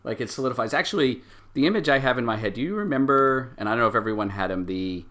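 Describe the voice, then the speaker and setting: A man running a tabletop game as game master, improvising the narration and thinking aloud in a halting way. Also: mid-range voice, animated, fairly bright tone.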